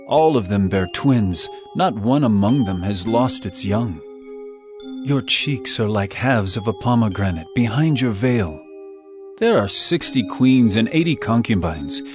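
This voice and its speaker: A man's voice: medium pitch, lightly acted. A man playing an ardent bridegroom who woos his beloved in an impassioned, poetic scripture drama. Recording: music bed behind the voice.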